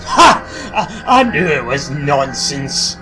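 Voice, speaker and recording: A man's voice: a little high, big pitch swings, over loud music, low-quality recording. A man voicing a stubborn, boastful little steam engine who is easily frightened, in an audio drama. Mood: indignant. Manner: blustering, quick to panic. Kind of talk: audio drama character acting.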